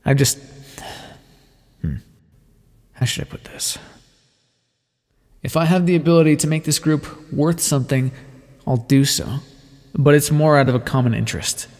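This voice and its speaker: A man playing a gravelly, condescending vampire in reverb. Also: a little high, fairly even intonation, normal speed, pauses often, darkish tone, natural voice. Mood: cynical, disdainful. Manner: wry, condescending, formal wording with pet names. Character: aloof, teasing male vampire scientist, reluctant ally. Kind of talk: ASMR roleplay, scripted intimate monologue to the listener.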